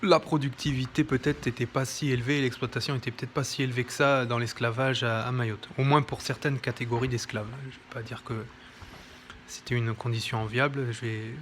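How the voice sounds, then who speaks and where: A man's voice: mid-range voice, little pitch movement, fairly quick, fairly bright tone, a little hiss or hum. A man presenting a history book in an informal, hesitant commentary, with many fillers and self-corrections. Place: home near an airport.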